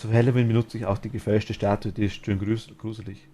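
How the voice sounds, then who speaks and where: A man's voice: fairly low pitch, little pitch movement, quick pace, mellow, slight background noise. A man with a Bavarian accent chatting casually and enthusiastically while streaming a game, laughing easily.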